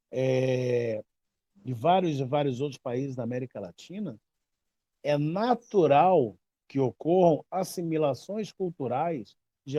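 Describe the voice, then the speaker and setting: A Brazilian man in his fifties talks at length as a teacher, his voice warm and mellow yet pitched a little high. He stresses points by repeating himself and tells stories with lively emphasis. The sound is dry and close, with no room echo. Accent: Brazilian Portuguese. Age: 50s. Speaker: babalawo teacher. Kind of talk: casual explanatory talk.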